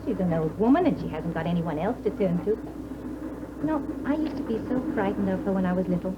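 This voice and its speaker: An actress with an English accent playing a young bride, bright and teasing, in a 1950s radio drama. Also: female voice, mid-range voice, animated, brisk, natural voice. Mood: lively. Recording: dull hissy old radio recording.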